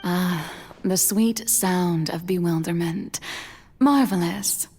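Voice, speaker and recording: A woman voicing a playful, elegant, theatrical goddess in a video game, with lilting speech and breathy asides. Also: on the low side, slow, a little mellow, in a large hall, exaggerated voice. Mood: amused, teasing.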